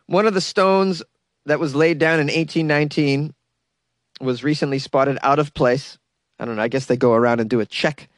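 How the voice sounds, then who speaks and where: Sarcastic podcast dude joking through a news story, kinda high voice, dry close mic. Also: male voice, dry recording. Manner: sarcastic, joking, casual swearing. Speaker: podcast host. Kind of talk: comedic news commentary.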